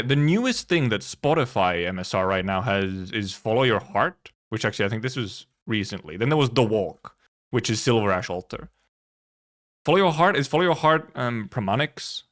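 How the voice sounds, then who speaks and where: A man's voice: medium pitch, animated, fairly bright tone. Casual, rambling male live streamer. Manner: rambling, halting, thinking aloud; casual swearing. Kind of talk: casual chat, reacting to viewers.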